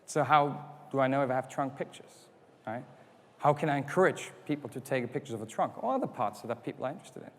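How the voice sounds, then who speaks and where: Non-native guy doing a tech talk on stage, mid pitch, lots of rhetorical questions, hall echo. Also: lively intonation, quick pace, fairly bright tone. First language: not English. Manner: explanatory, rhetorical questions, acts out customer quotes. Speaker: conference speaker. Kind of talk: tech conference keynote. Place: conference hall. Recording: hall reverb.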